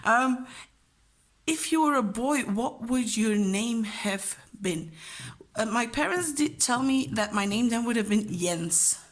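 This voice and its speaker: A woman's voice: fairly high pitch, animated, takes it slowly. A young woman from Belgium with a Flemish accent, Dutch her first language, chatting casually and rambling with fillers and laughs as she answers questions about herself. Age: young adult. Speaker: vlogger and teacher.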